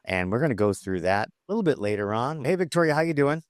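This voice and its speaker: A man's voice: mid-range voice, sing-song, fast talker, no room sound, studio quality. A man from Chicago hosting an interview show, enthusiastic, asking long rambling questions. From Chicago, USA. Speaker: podcast host.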